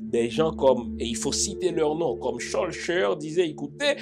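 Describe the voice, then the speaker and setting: A man, his voice sitting a little high, argues his case as a show host. He talks emphatically, throws rhetorical questions at his listeners and keeps dropping in "n'est-ce pas". Faint music plays underneath him. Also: lively intonation, a little slow. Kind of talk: opinion talk monologue.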